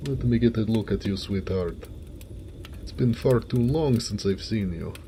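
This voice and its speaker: A man playing a big, warm-hearted, jovial orc blacksmith in an affectionate, folksy, one-sided romantic audio roleplay. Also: on the low side, unhurried, background noise. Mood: sheepish, tender.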